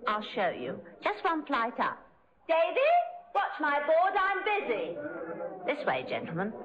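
An actress playing a talkative, obliging lodging-house clerk in 1950s radio style, deferential, on a muffled old recording. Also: female voice, high voice, huge pitch swings, a little slow, crowd noise, heavily acted. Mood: obliging, chatty. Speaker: actor.

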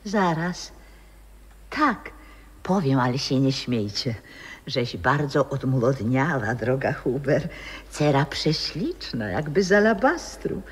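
A frail, husky old grandmother. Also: rumbling low, big pitch swings, takes it slowly, full character voice. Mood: dreamy. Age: older. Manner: frail, dreamy, often whispering. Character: frail old grandmother, once a stage singer. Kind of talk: 1960s radio play acting. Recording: old radio play with long reverb.

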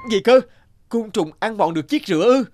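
A man voicing an earnest poor young farmer in an animated folk tale, full of exclamations and indignant questions. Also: high-pitched, brisk, background noise. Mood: indignant, astonished.

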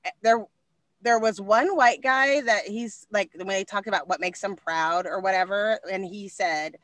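A woman in her forties co-hosting a podcast over a video call, talking loudly and quickly in a high voice that carries. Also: no room sound. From Texas. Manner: animated, loud and fast, with expressive hand gestures. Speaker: podcast co-host. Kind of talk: casual conversation. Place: small room indoors. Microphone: video call webcam.

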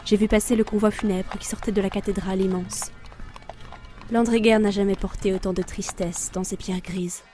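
A woman's voice: mid-range voice, fairly even intonation, normal speed, dark tone, lightly acted. Solemn female Death, played by an actress, music behind. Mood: brooding. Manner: solemn, introspective. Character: Ankou, weary brooding female reaper of souls. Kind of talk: first-person audio drama narration.

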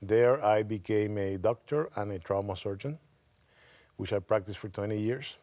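A man's voice: fairly low pitch, normal speed, dark tone, no room sound. Cuban-born man with a Spanish accent. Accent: Latin American Spanish. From Cuba. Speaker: state commission director, guest. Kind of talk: studio interview answers. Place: TV studio set. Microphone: lapel.

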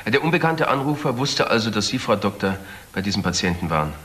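An actor playing a factual, businesslike junior detective in a German crime radio play. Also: male voice, on the low side, big pitch swings, fast talker, fairly bright tone. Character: brisk, factual male junior police detective.

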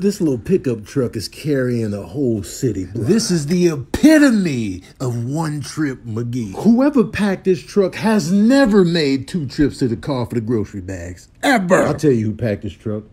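A man's voice: medium pitch, mellow, breathy edge. A man giving exaggerated, incredulous comedic commentary over clips in slangy language, on noisy clip audio. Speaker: voiceover comedian.

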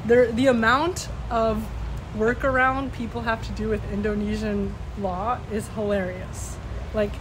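A woman's voice: fairly high pitch, little pitch movement, unhurried. A young woman chatting casually and expressively to a phone camera at arm's length, outdoors on a patio. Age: young adult. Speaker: vlogger. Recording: noisy outdoor phone recording.

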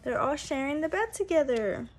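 A young woman, a preschool teacher, reading a picture book aloud to small children in an animated, sing-song, exaggerated way. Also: sits high, normal speed, rough recording. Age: young adult. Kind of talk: read-aloud story time for small children. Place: small room at home, indoors. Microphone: phone at arm's length.

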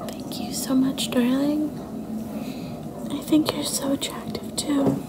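A woman playing a sweet, insecure young girlfriend in a soft-spoken ASMR roleplay, hesitant and coy, often slipping into a breathy whisper. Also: high-pitched, level tone, takes it slowly, rough recording. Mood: shy, self-doubting. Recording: noticeable background noise and ambience.

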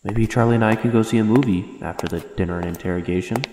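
A man's voice: lowish voice, fast, dark tone, echoey room, in a large hall, a little hiss or hum, lightly acted. A man playing an anxious, brooding young man with a wry, suspicious streak in a comic dub. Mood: pondering. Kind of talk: comic dub acting with inner-monologue narration.

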